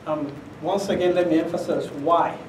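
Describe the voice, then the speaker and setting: A middle-aged Argentine-accented man speaks at a podium in a conference room, his voice set a little higher than most men's. He keeps it conversational and emphatic, checking in with the audience often. The sound is roomy and picked up from across the room. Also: fairly even intonation, normal speed, darkish tone, slight background noise. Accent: Argentine Spanish. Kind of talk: academic conference talk. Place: conference room with projector screen. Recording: echoey conference room, captured from a distance.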